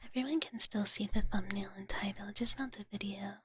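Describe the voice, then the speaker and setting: A woman voicing a sweet, ditzy dragon big sister for live ASMR, whispering softly and breathily up close in cutesy valley-girl talk. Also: mid-range voice, brisk, background noise, natural voice. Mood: soft, chatty. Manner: cutesy valley-girl filler-laden talk in third person. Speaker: streamer. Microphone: close ASMR microphone.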